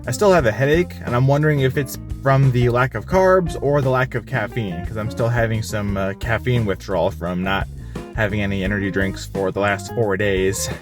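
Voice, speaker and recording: A man's voice: on the low side, fairly even intonation, moderate pace, over music. A young man narrating his own diet vlog in a casual, candid way, describing how he feels day to day. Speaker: fitness vlogger.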